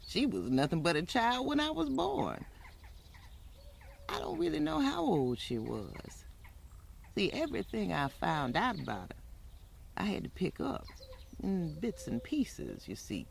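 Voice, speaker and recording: An actor playing an elderly woman from Alabama with a Southern US accent, telling her life story in a folksy, halting, plainspoken way as in an old-time radio drama. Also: deep voice, gravelly, slight background noise, full character voice. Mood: musing, wry. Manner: halting, folksy, colloquial, musing.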